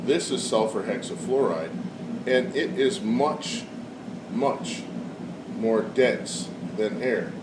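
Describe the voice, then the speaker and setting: A man with a cold explaining a science demonstration in a casual, halting way with frequent fillers. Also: medium pitch, animated, background noise. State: has a cold. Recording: echoey room, far from mic, loud air conditioning.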